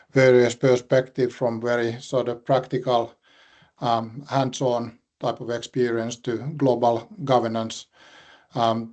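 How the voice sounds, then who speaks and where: Man hosting a webinar, mellow mid-range voice, effusive and halting when introducing guests. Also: medium pitch. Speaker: webinar host. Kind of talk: webinar interview.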